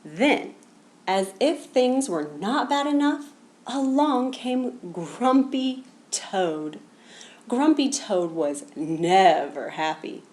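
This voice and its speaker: Female children's storyteller, higher-pitched, highly expressive intonation, read-aloud narration. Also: drawn-out words. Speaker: storybook reader.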